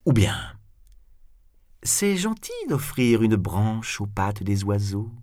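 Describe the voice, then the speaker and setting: A man's voice: lowish voice, sing-song, slow pace, a little mellow, no echo, clean studio sound. A man voicing a proud, bold, mocking swordsman in a grandiloquent storybook style. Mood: cool mockery. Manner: grandiloquent, taunting.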